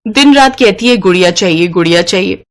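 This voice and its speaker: A woman voicing a fond, teasing village mother in a cartoon moral story, affectionate and gently scolding. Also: medium pitch, expressive, brisk, lightly acted.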